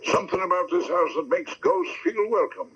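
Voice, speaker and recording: An actor playing a folksy, plain-spoken small-town sheriff who doubles as estate agent, in a 1970s radio drama. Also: male voice, sits high, expressive, normal speed, a bit bright. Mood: matter-of-fact, knowing. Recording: dull, faintly noisy 1979 radio broadcast.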